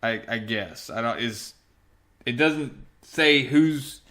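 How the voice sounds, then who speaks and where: A man's voice: medium pitch, takes it slowly, sharp tone. A man giving casual review commentary as a comic book reviewer, in a sarcastic, exasperated manner.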